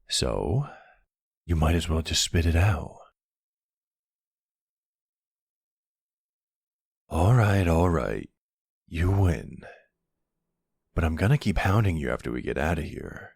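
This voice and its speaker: A flirty male vampire, acted by a man in an intimate ASMR roleplay, very low and husky. He teases with a cocky, sardonic drawl, taking his time and swinging expressively through his lines. The sound is close and dry. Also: expressive, unhurried, pauses often, dry recording, full character voice. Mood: playful, teasing. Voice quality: gravelly, husky.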